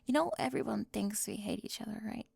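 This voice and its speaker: A young woman voicing a sharp-tongued cheerleader bully for an ASMR roleplay, sarcastic and defensive, often dropping to a whisper close to the mic. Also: medium pitch, a little fast, vocal fry, husky, dry recording, full character voice.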